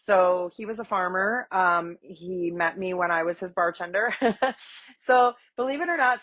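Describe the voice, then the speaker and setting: A woman in her forties from Wisconsin, stuffy from a cold, telling her story in a chatty, animated podcast interview with many fillers. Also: mid-range voice. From Wisconsin, USA. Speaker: guest, agriculture advocate. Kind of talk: conversational interview.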